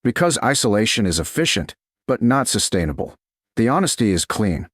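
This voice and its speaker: A man's voice: lowish voice, sing-song, a little fast, a bit bright, husky, no room sound, studio quality, exaggerated voice. A man voicing a guarded, brooding duke who speaks in blunt, clipped sentences.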